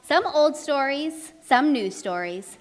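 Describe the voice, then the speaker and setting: A woman's voice: sits high, takes it slowly. A woman narrating a children's puppet show live, expressively, speaking directly to young children. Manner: expressive, addresses young children with simple questions. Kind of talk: children's storytelling to a live audience. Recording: echoey hall, live show.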